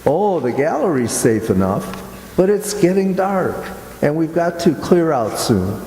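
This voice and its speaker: A man acting an uneasy, imaginative gentleman in an amateur radio drama. His fairly high voice swings widely in pitch and hesitates as he muses. It all rings through an echoey hall. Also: very animated. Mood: reassuring, careful. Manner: hesitant, halting, musing. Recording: echoey hall with long reverb.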